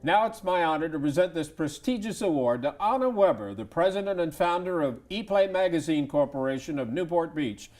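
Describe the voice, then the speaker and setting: A male television host with a lively, animated voice that rises and falls a lot. He asks his guest conversational questions and offers praise between them. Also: a little high, fairly quick, hardly any pauses, soft background music. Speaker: TV show host. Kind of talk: television interview.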